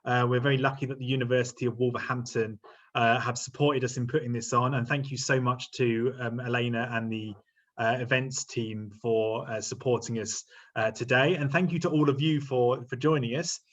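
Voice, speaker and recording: A man's voice: mid-range voice, steady tone, normal speed. A middle-aged man, a university lecturer, hosting a panel on a video call in a friendly, hesitant way with many fillers. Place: home room. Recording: slightly muffled video call audio.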